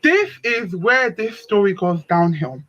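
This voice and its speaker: A young woman with a deep voice, telling a story on a live panel stream in a slangy, dramatic way. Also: very animated, a little slow, lo-fi sound. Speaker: panel speaker.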